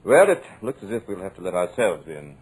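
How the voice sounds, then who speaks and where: An actor playing a smooth, commanding radio producer with a sinister edge, in a 1940s radio drama. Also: male voice, low-pitched, big pitch swings, fairly quick, a little hiss or hum, poor audio. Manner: suave, commanding.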